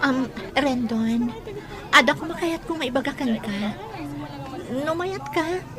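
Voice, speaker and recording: Actress as a high-voiced, forward Filipino flirt. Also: female voice, slow pace, a crowd in the background. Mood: flirtatious, insistent. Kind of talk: audio drama acting.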